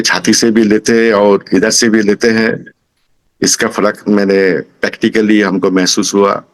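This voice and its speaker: A man in his sixties from Kolkata with a Bengali accent, giving an informal testimonial as a student, halting as he searches for words. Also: on the low side, rough recording. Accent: Bengali-accented Hindi. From Kolkata, India. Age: 60s.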